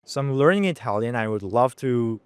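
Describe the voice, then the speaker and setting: A man's voice: mid-range voice, lively intonation, moderate pace, clear, resonant tone, no echo. A man from Colombia whose first language is Spanish, a student speaking fondly about languages. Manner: warm, fond of languages. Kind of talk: casual interview answers.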